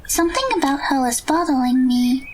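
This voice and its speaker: Mika, a woman's very high-pitched gentle girl. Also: fairly quick, a little hiss or hum, close to an everyday voice. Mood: concerned. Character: gentle, caring teenage girl with magical knowledge. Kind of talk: audio drama acting.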